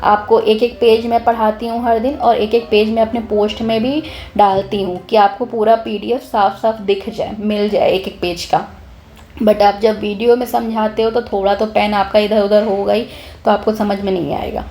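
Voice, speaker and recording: A young woman, slightly hoarse, explaining a chemistry lesson in a casual, lively way, often restarting her sentences. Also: mid-range voice, moderate pace, faint music behind. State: hoarse, clears her throat. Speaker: chemistry teacher.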